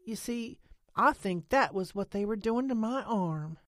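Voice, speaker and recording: A woman voicing a stubborn, excitable rural woman who talks in country dialect and rambles defensively. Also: mid-range voice, a little slow, dry recording, studio quality, voice pushed up, lightly acted. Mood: earnest.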